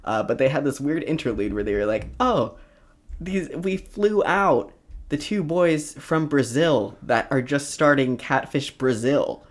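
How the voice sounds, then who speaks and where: A man's voice: medium pitch, lively intonation, a little slow. A young man co-hosting a podcast in casual comedic banter, rambling and swearing freely. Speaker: podcast co-host.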